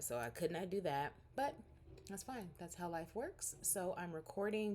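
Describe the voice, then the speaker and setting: A woman's voice: low voice, darkish tone, slight background noise. A woman in her forties recording a casual, rambling catch-up vlog at home, talking candidly to her viewers. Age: 40s. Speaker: vlogger.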